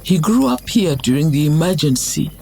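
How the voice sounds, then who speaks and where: A woman playing a wise elderly village elder and guardian who speaks slowly and solemnly. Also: low-pitched, very animated, creaky, dark tone, a little hiss or hum, exaggerated voice. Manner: slow, solemn.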